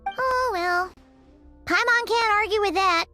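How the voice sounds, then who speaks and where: Squeaky, bright little fairy girl. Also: takes it slowly, soft background music, heavily acted. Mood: cheerful. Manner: childlike, third-person, exclamatory. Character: tiny excitable floating fairy girl companion, childlike and cheeky. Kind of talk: anime game voice acting.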